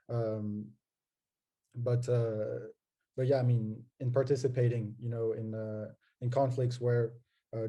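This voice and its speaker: A man speaking as a panelist, giving informal remarks in halting, hesitant phrases. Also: medium pitch, mellow.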